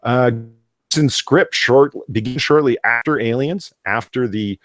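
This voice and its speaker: A man hosting a casual live chat, excitable and emphatic, prone to swearing, who sometimes reads aloud. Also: mid-range voice, a little slow, no room sound. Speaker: podcast host.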